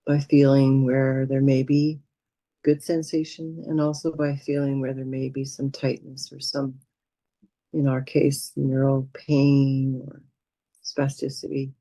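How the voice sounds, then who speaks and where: A woman leading a guided meditation as a teacher, speaking in a gentle, soothing manner with unhurried pauses. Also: deep voice, dry recording, low-quality recording.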